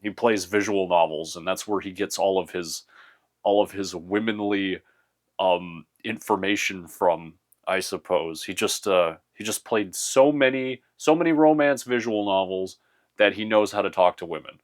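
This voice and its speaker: A young man in a casual, rambling show-and-tell about his anime collection, full of "uh" and restarts, a little hoarse from losing his voice. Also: low voice, normal speed. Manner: rambling, many fillers and restarts.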